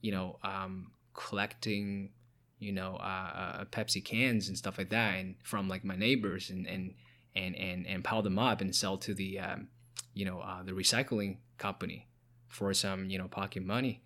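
A man's voice: low voice, flat delivery, normal speed, bright, forceful tone. A young man from China, a podcast guest and founder, chatting casually with many fillers and restarts. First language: Chinese. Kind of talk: casual interview chat.

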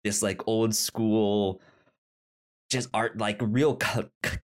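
A man's voice: fairly low pitch, fairly even intonation, takes it slowly. A man co-hosting a podcast, chatting casually with geeky enthusiasm and often circling back to rephrase himself. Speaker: podcast co-host. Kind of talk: casual chat about comics and shows.

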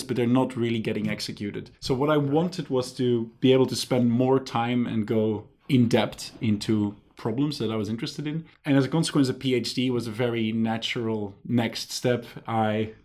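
A man's voice: medium pitch, moderate pace, few pauses. Belgian-born man telling his story. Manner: relaxed storytelling with fillers. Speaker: guest, professor. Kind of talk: casual interview talk. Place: university office.

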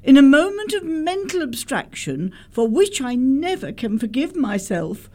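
A woman's voice: high-pitched, sing-song, a little slow, dark tone. A woman playing a prim, flustered governess, earnest and melodramatic, in a comic radio play. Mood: ashamed, confessional. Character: prim, flustered, guilt-ridden spinster governess. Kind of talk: stage comedy radio acting.

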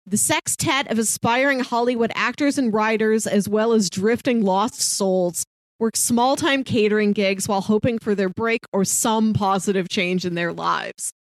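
A woman co-hosting a podcast, chatting casually and pitching shows to her co-host. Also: normal speed, dry recording.

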